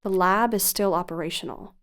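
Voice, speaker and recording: A woman's voice: lowish voice, brisk, fairly bright tone, close to an everyday voice. An actress playing a cautious, analytical female researcher who investigates for a podcast, earnest and articulate, in an audio drama. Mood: reasoning. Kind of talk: audio drama acting, dialogue and narration.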